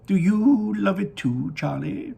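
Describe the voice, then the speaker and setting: A man voicing an eccentric, earnest old chocolate maker in a storybook, excitable and emphatic, with drawn-out words. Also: high voice, gravelly, husky, voice pushed up, heavily acted. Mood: fond, solemn. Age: older.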